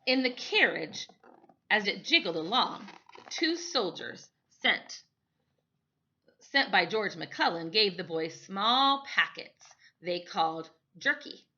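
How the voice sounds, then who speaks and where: A woman reading a children's story expressively, with dramatic, deliberate phrasing, on a slightly dull recording. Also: medium pitch, very animated, bright, forceful tone. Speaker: storytime reader.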